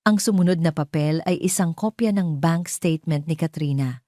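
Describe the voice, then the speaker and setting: A woman's voice: deep voice, normal speed, warm tone, dry recording, clean studio sound. A woman narrating a scripted drama story in a dramatic style.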